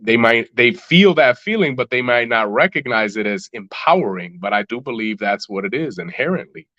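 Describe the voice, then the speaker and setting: A man's voice: medium pitch, lively intonation, normal speed, pushed and bright, dry recording, highs cut off. A man, a musician guest on a podcast, answering reflectively with many fillers and restarts.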